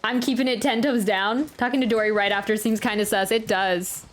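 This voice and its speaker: A woman's voice: medium pitch, steady tone, brisk. A woman game streamer chatting casually as she reacts, rambling and stammering while thinking aloud. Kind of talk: casual gameplay reaction chat. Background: faint game music.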